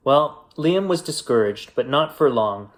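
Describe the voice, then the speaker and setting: Slow-paced man reading a bedtime story. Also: mid-range voice, lively intonation. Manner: unhurried, with deliberate dramatic pauses. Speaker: storyteller reading a children's picture book. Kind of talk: expressive bedtime story narration.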